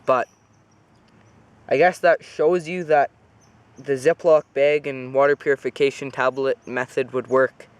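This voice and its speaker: A man's voice: medium pitch, unhurried, clean tone, dry recording. Congested man rambling outdoors. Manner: relaxed, rambling, self-correcting. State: congested with a cold. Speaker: outdoor survival vlogger. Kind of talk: casual outdoor demonstration. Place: outdoors by a pond.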